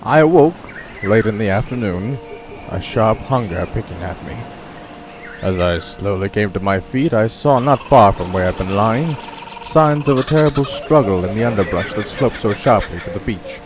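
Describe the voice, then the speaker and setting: An actor playing a shipwrecked big-game hunter in a radio drama, telling his story in a dramatic, measured way. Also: fairly low pitch, animated, noisy background, natural voice. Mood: tense, weary. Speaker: narrator and lead actor. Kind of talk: old-time radio drama, first-person narration.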